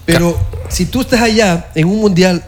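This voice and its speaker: A man's voice: high voice, moderate pace, crowd noise. A man from Ecuador on a sports talk panel, rambling with lots of fillers as he makes his point.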